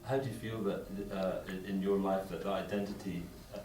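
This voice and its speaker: A man's voice: sits low, fairly even intonation, a little fast, a little mellow. A man putting thoughtful questions to the people at a meeting. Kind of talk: unscripted remarks at a meeting. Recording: echoey hall, distant mic, faint hiss.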